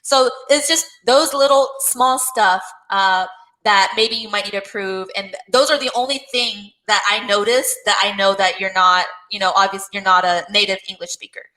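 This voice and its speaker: Bright-voiced, encouraging woman tutor. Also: mid-range voice, steady tone, normal speed, poor audio. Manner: encouraging, gentle, many backchannel affirmations. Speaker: English tutor. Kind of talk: casual one-on-one conversation lesson.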